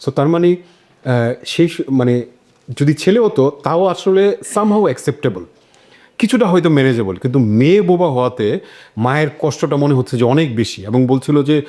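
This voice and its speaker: A man hosting a casual discussion, introducing topics in a hesitant, halting way. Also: on the high side, animated, dark tone. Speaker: host.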